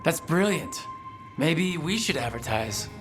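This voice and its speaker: An actor playing a wry, easygoing young hero in a fantasy video game, with a casual delivery. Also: male voice, fairly high pitch, expressive, measured pace, fairly bright tone. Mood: upbeat, teasing. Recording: long reverb, music behind.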